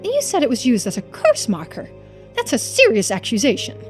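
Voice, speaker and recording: Lady doing a pompous businessman from a video game, super formal and touchy, highish voice that swoops around, music behind. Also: huge pitch swings, fairly quick. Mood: offended. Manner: pompous, formal, indignant phrasing. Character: haughty, easily offended, formally polite businessman. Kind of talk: video game character voice-over.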